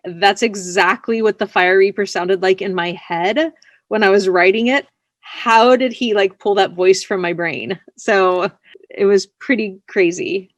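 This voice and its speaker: A woman speaks as a writer being interviewed, in a chatty and casual way. Her voice sits at a middle pitch, and she talks with animation. The sound is dry and close, free of room echo.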